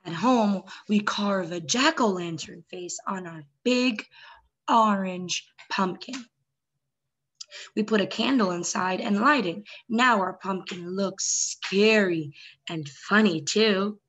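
Young adult female story time host, medium pitch, expressive read-aloud delivery aimed at small children. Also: slow, muffled recording.

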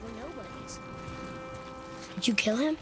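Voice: medium pitch, expressive, unhurried, music in the background, close to an everyday voice. A young performer playing an earnest young boy in a video game, the questioning son of a warrior, with an informal, eager way of talking. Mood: troubled, questioning. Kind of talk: dramatic video game acting.

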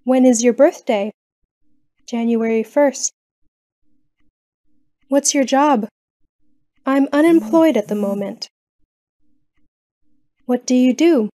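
A woman reading common questions and answers slowly for English learners. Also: high voice, mellow. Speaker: English lesson reader.

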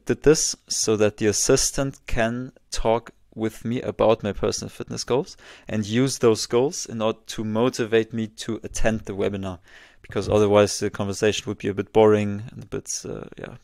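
A man in his twenties giving a casual walkthrough as a software tutorial presenter, close on a desk microphone. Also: fairly low pitch, fairly even intonation, normal speed, creaky, few pauses, no echo. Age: 20s. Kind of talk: casual screen-share walkthrough.

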